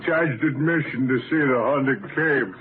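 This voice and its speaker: A man's voice: medium pitch, measured pace, lightly acted. Actor as a superstitious rustic mountain man. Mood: ominous, folksy. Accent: rural American. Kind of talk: 1930s American radio drama acting. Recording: scratchy, tinny 1939 radio broadcast.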